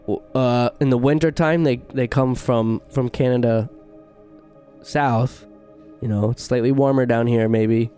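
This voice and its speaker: A man's voice: mid-range voice, steady tone, measured pace, dark tone, smooth, faint music behind. A man hosting a podcast, explaining things earnestly and laughing easily. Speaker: podcast host. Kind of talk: casual chat.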